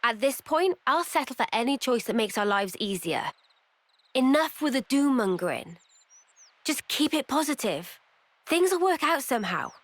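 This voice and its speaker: A woman acting a pragmatic, bossy young soldier in a video game cutscene, brisk and commanding. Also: high-pitched, sing-song. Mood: exasperated, commanding. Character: bossy, pragmatic young female soldier, exasperated but encouraging.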